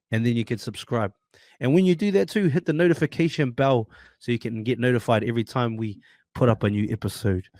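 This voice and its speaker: A man's voice: mid-range voice, a little mellow, no echo. A man with a New Zealand accent hosting a podcast, upbeat and friendly, calling his guest "brother". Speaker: podcast host. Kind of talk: casual interview chat.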